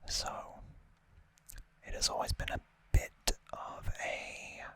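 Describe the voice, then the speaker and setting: A man whispering in a hushed, deliberate manner, as an ASMR host chatting through an unboxing. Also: dry recording.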